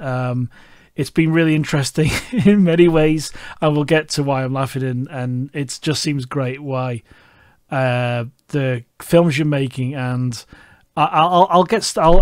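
A man's voice: mid-range voice, no echo, studio quality. A middle-aged man with a Scouse accent hosting a podcast interview, talking casually and rambling with many restarts. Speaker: podcast host.

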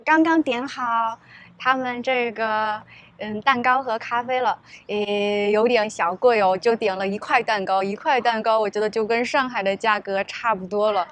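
A woman's voice: on the high side, fairly even intonation, normal speed. A woman in her thirties from Shanghai with a Shanghai Mandarin accent, chatting upbeat and straight to the viewer in a casual vlog, recorded on a clip-on mic in a garden café. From Shanghai, China. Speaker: travel vlogger. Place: garden and wooden café, mostly outdoors. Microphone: small clip-on mic on the shirt. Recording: soft music underneath.

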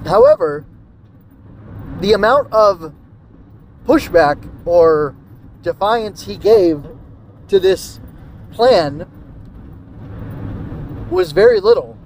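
Man, high-pitched voice, podcast host, casual review, hesitant thinking aloud, clear background noise. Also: big pitch swings, drawn-out words, pauses often, a little mellow. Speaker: podcast host reviewing an old radio drama. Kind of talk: casual solo review commentary.

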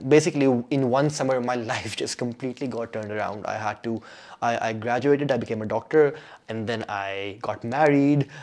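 A man's voice: medium pitch, darkish tone. A young man whose first language is Urdu, chatting casually through a rambling vlog update, full of fillers and restarts. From Pakistan. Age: young adult. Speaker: doctor and vlogger.

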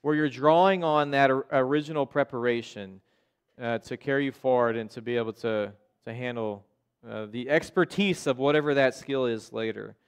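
A man's voice: mid-range voice, moderate pace, clear, resonant tone. An American man preaching as a pastor in an informal, conversational way, often checking in with his listeners. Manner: informal, checks in with listeners using "okay?" and "right?". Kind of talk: conversational sermon. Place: church sanctuary in front of a congregation.

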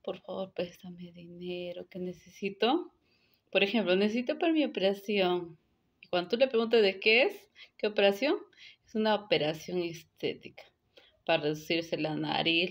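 Earnest young woman, lowish voice. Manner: earnest, repetitive, drops to soft near-whispers. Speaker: vlogger giving advice. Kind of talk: casual advice monologue to camera. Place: indoors, close to phone. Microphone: phone held close to the face.

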